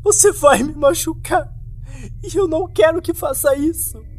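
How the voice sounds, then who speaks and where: A man's voice: very high voice, moderate pace, soft background music, pitched above the performer's own voice. A man voicing a terrified, pathetic double of a horror story's hero, crying and pleading in a repetitive whimper. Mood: sobbing, frightened.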